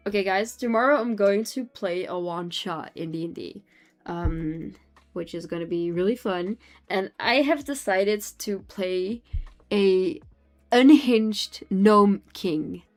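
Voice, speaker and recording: A young Dutch woman chats with her live stream audience, her English colored by a Dutch accent. She talks loosely, giggling and trailing off mid-thought. Soft music plays faintly behind her. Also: mid-range voice, slow pace. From Netherlands. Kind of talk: casual chat with chat while drawing.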